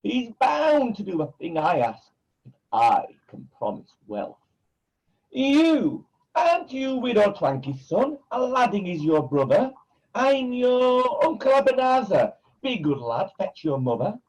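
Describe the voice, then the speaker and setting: An actor playing a scheming pantomime villain who poses as a kindly uncle, speaking in theatrical, wheedling rhyme. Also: male voice, sits high, very animated, a little slow, darkish tone, heavily acted, rough recording. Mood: sly, plotting. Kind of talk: rhyming pantomime acting.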